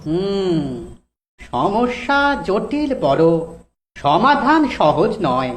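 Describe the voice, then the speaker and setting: Someone playing a wise cartoon judge, slowish n singsong, really lively pitch, music under it. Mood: stalling, reassuring. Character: wise stalling village judge solving petitions. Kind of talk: rhyming cartoon dialogue.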